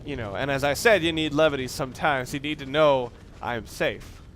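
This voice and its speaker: A man's voice: mid-range voice, normal speed, fairly bright tone, slight background noise. A man giving casual, analytical video game commentary, rambling and correcting himself as he goes. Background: video game sounds.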